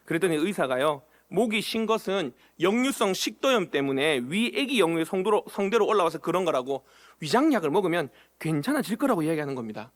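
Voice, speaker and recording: A high-voiced man gives a sermon to his church, addressing the people directly with question after question. He slips into little acted quotes to bring scenes to life. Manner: asks the congregation questions, acts out short quoted lines. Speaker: pastor. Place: church sanctuary.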